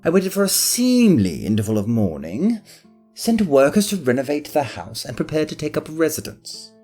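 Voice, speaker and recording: A man's voice: a little high, wildly swooping pitch, moderate pace, warm tone, soft background music. An actor playing a spoiled, arrogant nobleman who talks in a haughty, self-pitying way. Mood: solemn. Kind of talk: fan audio drama acting.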